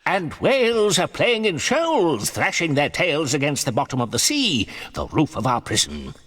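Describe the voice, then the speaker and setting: A man's voice: fairly high pitch, very animated, normal speed, fairly bright tone, voice pushed up. A man voicing a pompous, eager older professor in a theatrical, declamatory way for a dramatised audiobook. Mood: triumphant, assured. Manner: declamatory, theatrical, self-assured. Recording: long hall reverb.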